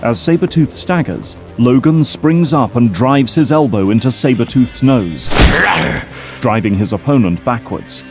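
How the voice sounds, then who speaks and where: A man's voice: mid-range voice, animated, unhurried. A man giving dramatic scripted narration for an audio drama. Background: dramatic music.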